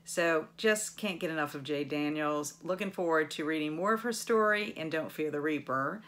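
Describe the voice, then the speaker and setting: A middle-aged woman hosting a book channel, chatting casually to viewers with enthusiastic, expressive emphasis. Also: sits low, normal speed. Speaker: book vlogger and channel host.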